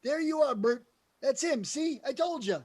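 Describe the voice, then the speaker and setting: A man as a high-voiced, slangy cabbie. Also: expressive, moderate pace, a little mellow. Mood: suspicious. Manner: slangy, plain-spoken. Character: suspicious, working-class male cab driver. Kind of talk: 1940s radio drama acting.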